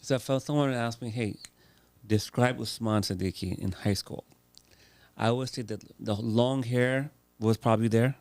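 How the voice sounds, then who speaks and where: Host: man, lowish gravelly voice, dry close mic, teasing conversational prompts. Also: steady tone, moderate pace, a little mellow, no room sound. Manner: playful, leading questions. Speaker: interview host. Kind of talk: casual interview chat.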